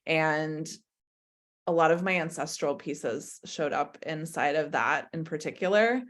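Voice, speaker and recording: Slow, low-voiced woman reflecting as guest. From born in Croatia, lived mostly in America. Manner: introspective, hedging with frequent "like". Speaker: interview guest, business coach. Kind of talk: reflective conversational interview.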